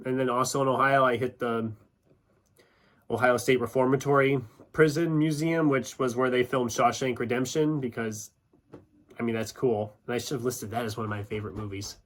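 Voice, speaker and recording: A man talks casually to his viewers as a channel host. His voice sits at an average pitch. He speaks informally, with self-deprecating asides. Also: fairly bright tone. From United States, Iowa. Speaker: YouTube book channel host. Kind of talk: casual chatty vlog, answering questions.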